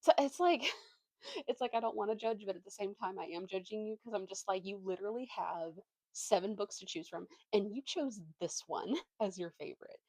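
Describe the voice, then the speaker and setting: A woman's voice: mid-range voice, normal speed, no room sound. A young woman chatting casually as a book vlogger, opinionated and slangy, poking fun at herself. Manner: animated slangy delivery, opinionated, self-deprecating.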